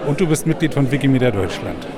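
A man interviews attendees at a crowded gathering, opening with upbeat introductions and short questions. His voice sits in the middle range, and a loud crowd murmurs behind him. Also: mid-range voice, lively intonation, quick pace. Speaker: interviewer at a members' meeting. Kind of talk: friendly event interviewer. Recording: busy crowd noise behind.

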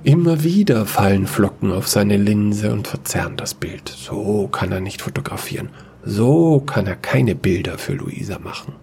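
Low, creaky-voiced male storyteller. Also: expressive, normal speed, darkish tone, slight background noise. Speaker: narrator. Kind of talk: scripted sci-fi fairy-tale audio story narration.

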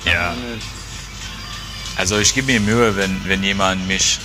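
A man's voice: low-pitched, measured pace, music in the background. A man from Australia with an Australian accent, a native English speaker, chatting casually and searching for his words. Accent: Australian-accented German. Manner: hesitant, searching for words in imperfect German. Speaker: conversation participant. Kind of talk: casual chat.

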